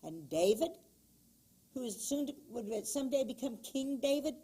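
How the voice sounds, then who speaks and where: A middle-aged woman reading a folk tale aloud to children in an expressive storybook way, then talking about it. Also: high-pitched, normal speed, breathy edge.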